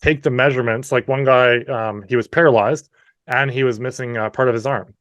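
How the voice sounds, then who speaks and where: A man's voice: mid-range voice, steady tone, brisk, a bit bright, dry recording, dull audio. Chatty Canadian man, dry sound. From New Brunswick, Canada. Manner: friendly, chatty, frequent "you know" asides. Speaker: guest. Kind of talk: casual interview storytelling.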